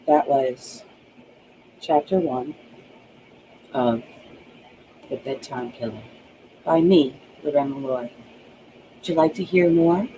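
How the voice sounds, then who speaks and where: Author-narrator, audiobook reading, medium pitch, soft dark tone, slight background noise. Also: expressive, slow, pauses often, rough recording. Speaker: author reading own novel aloud.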